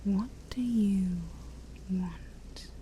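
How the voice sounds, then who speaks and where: Demon princess, acted by a woman: very dark, mellow tone, unhurried and half-whispered, haughty but secretly shy, ASMR roleplay over background noise. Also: mid-range voice. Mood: coaxing. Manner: formal, teasing, hesitant, sighing.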